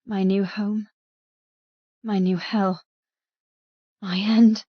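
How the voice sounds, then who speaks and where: A woman's voice: mid-range voice, flat delivery, extremely slow, no room sound, lo-fi sound. A woman narrating a dramatic first-person novel as a frightened captive. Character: frightened captive young woman telling her story. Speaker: audiobook narrator.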